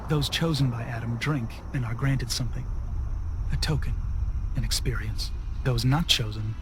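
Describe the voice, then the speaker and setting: An actor playing a stern, devout cult zealot in a video game, speaking in a measured, solemn and cryptic way. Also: male voice, mid-range voice, a little hiss or hum, natural voice. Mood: solemn, commanding. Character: stern, devout male cult zealot guarding his faithful. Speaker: cult gatekeeper. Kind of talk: video game dialogue acting.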